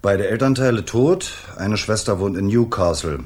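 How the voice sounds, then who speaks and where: A man portrays a dutiful police detective in an eighties German radio play. His voice is very deep, and he speaks in a dry, understated, matter-of-fact way. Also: normal speed, a little mellow, natural voice. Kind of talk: 1980s German radio drama acting.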